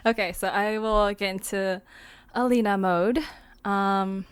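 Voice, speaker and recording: Clear-voiced woman podcast host. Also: mid-range voice, steady tone, slow pace, dry recording, studio quality. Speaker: host of a fiction podcast, cast member. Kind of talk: casual podcast chat.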